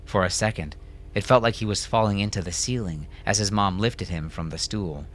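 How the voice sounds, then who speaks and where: Low-pitched male story narrator. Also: normal speed, slight background noise. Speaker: audiobook narrator.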